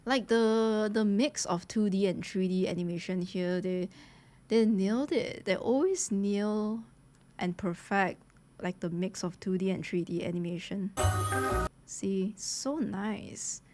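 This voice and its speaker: A woman streamer reacts casually to what she watches. She gushes and talks in loose slang, addressing her viewers like friends, in a mid-pitched voice. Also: a little slow, mellow, faint music behind. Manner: gushing, slangy, addresses viewers as guys and bro. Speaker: streamer reacting to a game trailer.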